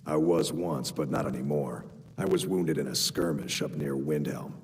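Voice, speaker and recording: An actor playing a plain-spoken rustic Nord war veteran in a video game, with folksy grammar. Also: male voice, rumbling low, normal speed. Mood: gruff, matter-of-fact. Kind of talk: video game character dialogue. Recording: long hall reverb, music behind.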